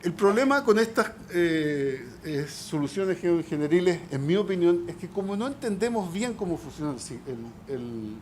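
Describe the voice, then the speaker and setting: Man, slightly high voice, faint background noise, panel scientist, hesitant with fillers. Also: lively intonation, normal speed, few pauses, darkish tone. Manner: hesitant, many fillers and restarts. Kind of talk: conference panel talk. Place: auditorium.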